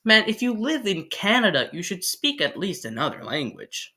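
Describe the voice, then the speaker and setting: A man's voice: sits high, huge pitch swings, normal speed, sharp tone. A young man narrating a casual explainer video with jokey, sarcastic asides. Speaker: video creator.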